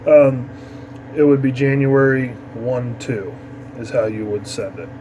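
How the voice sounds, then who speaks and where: A man explains a procedure step by step in an informal, halting tutorial manner, like an instructor walking someone through it. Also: medium pitch. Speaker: amateur radio instructor. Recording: noisy, steady background hum.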